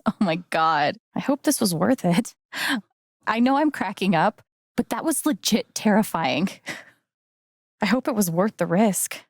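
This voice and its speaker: An actress playing a friendly, wry and earnest young woman in a video game, talking in a casual, gently teasing way. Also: mid-range voice, dry recording, clean studio sound. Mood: cracking up, giddy. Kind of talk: naturalistic video game dialogue acting.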